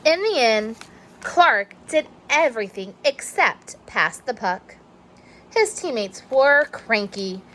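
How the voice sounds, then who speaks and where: A woman reading a children's storybook aloud in an expressive narrating style. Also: on the high side, takes it slowly, slight background noise. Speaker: storybook reader.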